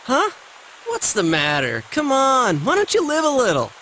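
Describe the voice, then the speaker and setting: A cocky, gossipy teenage pal in an anime game. His voice is high and bounces around a lot, slangy and teasing, over a faint wash of rain. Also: huge pitch swings, normal speed, sharp tone, a little hiss or hum, full character voice, poor audio. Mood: goading.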